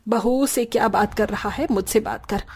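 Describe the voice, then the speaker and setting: Bossy village mother-in-law played by a woman, highish voice. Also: moderate pace, darkish tone, slightly rough. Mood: scolding, firm. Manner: scolding, assertive. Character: bossy, sharp-tongued village mother-in-law, devoted businesswoman. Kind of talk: Hindi cartoon story dialogue.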